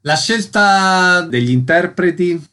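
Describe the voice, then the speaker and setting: A man's voice: sits high, lively intonation, takes it slowly, pushed and bright. A man hosting radio arts interviews and announcing events.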